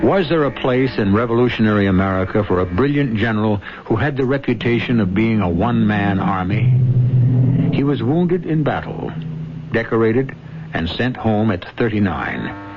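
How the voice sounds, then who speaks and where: A man's voice: fairly low pitch, expressive, normal speed, background noise. A man hosting and narrating a radio drama in a theatrical, rhetorical, scripted style. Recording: dull old radio broadcast, music behind.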